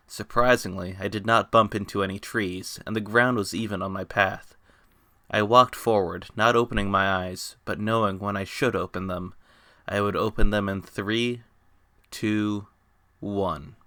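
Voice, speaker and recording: A man's voice: on the low side, pushed and bright, dry recording. A man giving a dramatic reading of fan fiction as its narrator. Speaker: author reading own story.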